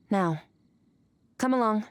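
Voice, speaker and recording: An actress playing an aloof, powerful young woman warrior in a video game, speaking in a cool, curt, condescending and measured way. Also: medium pitch, slight background noise. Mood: cold, dismissive. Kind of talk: dramatic video game voice acting. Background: background music.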